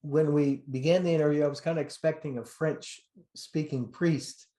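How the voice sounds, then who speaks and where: A man's voice: fairly high pitch, steady tone, moderate pace, dark tone. A man hosting a podcast interview, friendly, with brief affirmations. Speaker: podcast host.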